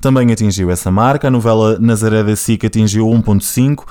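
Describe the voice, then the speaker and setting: A man's voice: fairly low pitch, fairly quick. A man with a European Portuguese accent, co-hosting a casual chat and reading out figures steadily, with fillers between them. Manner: steady, listing numbers with fillers. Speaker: co-host.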